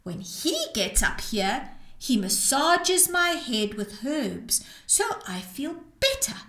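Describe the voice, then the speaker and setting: A woman's voice: sits high, very animated, slow pace, fairly bright tone, exaggerated voice. A woman voicing a sheltered young storybook girl, timid and innocently frank, with wide-eyed, artless delivery. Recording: roomy, echoing sound.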